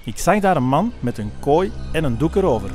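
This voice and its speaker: A man's voice: fairly high pitch, sing-song, a little fast, music in the background. A man playing an agitated walking stick insect from the forest in an amateur radio play. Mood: urgent.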